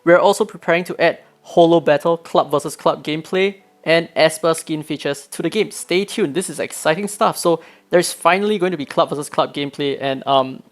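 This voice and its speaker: A hoarse man reading out game patch notes, then breaking in with his own eager opinions, as a gaming video creator. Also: fairly high pitch, fairly quick.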